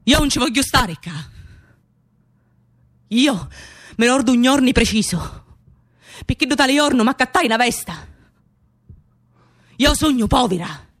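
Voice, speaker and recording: A woman's voice: on the high side, expressive, measured pace, long pauses. An actress playing an anguished, defiant working-class Sicilian woman in a radio theatre piece, in incantatory, repetitive dramatic monologue with a Sicilian accent. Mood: desperate, insistent. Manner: incantatory, repeating phrases with intensity.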